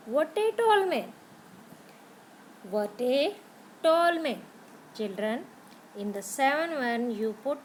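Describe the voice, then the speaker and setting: A woman teacher with an Indian English accent, slowly spelling out grammar words letter by letter for young pupils. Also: on the high side, a little hiss or hum, low-quality recording. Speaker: school teacher.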